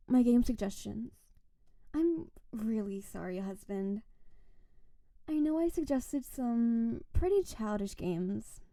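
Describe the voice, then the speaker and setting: A woman playing a doting, possessive snow-spirit wife in an intimate roleplay audio, speaking to her beloved in a sweet, teasing, clingy way with soft menace. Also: medium pitch, gravelly. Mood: apologetic, flustered. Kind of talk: intimate scripted roleplay audio addressed to the listener.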